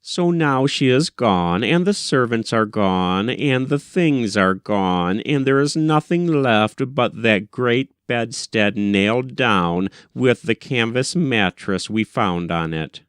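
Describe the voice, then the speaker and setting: A man reading a first-person short story as scripted literary narration, with measured, deliberate delivery. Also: medium pitch, lively intonation, fairly bright tone, smooth, no room sound, studio quality. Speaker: audiobook reader.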